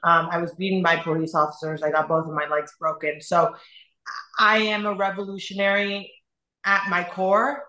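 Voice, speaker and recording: A young adult woman from Iran speaking as a guest, earnest and halting, often repeating her phrases. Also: on the low side, little pitch movement, sharp tone, echoey room, low-quality recording. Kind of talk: emotional personal testimony in conversation.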